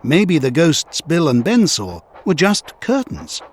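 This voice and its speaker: A man's voice: a little high, huge pitch swings, slow pace, slight background noise, voice pushed up, heavily acted. A man voicing a plucky young male tank engine, boastful but easily spooked, in a children's storybook. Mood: puzzled.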